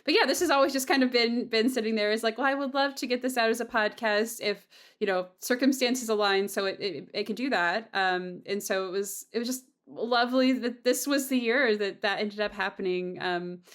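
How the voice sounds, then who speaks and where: A woman's voice: a little high, fairly quick, fairly bright tone. A woman hosting a casual, heartfelt podcast conversation, effusive and grateful in manner. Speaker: podcast host and audio drama creator.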